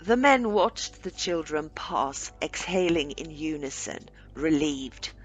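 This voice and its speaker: Slow-paced low-voiced woman storyteller. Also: lively intonation, faint music behind, rough recording. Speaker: storyteller reading own short story aloud. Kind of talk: scripted story narration.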